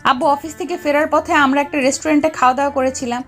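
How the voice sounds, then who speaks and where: A woman's voice: sits high, fairly even intonation, fast talker, fairly bright tone, voice pushed up. A woman voicing an angry, domineering young female boss in an audio story, scolding and giving orders. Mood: resentful. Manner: scolding, commanding. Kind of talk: Bengali audio story character dialogue. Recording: music under the voice.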